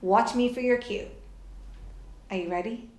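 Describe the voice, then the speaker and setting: A woman's voice: on the high side, a little slow, darkish tone, slight background noise. A middle-aged woman leading an instructional drama lesson for children, giving encouraging step-by-step directions. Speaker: workshop presenter for children. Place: hallway-like room in a house, indoors. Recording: roomy, echoey indoor sound.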